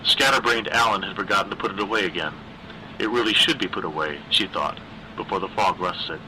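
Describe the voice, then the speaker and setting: A man reading a dark horror story as a scripted narration, with a dramatic, deliberate literary delivery. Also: deep voice, slight background noise, low-quality recording.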